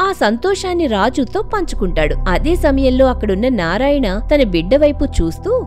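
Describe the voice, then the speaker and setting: A woman reads a moral tale as its narrator. Her voice is high, and her intonation rises and falls in lively swings. Soft music sits behind it. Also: fairly quick. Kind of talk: scripted moral story narration. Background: background music.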